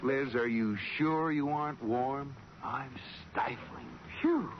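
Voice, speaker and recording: An actor playing an anxious, placating husband in a 1940s radio sitcom, with theatrical delivery. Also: male voice, mid-range voice, takes it slowly, crowd noise. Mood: bemused. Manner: theatrical, exasperated. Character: flustered, anxious, placating husband hiding a secret. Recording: hissy, muffled old radio recording.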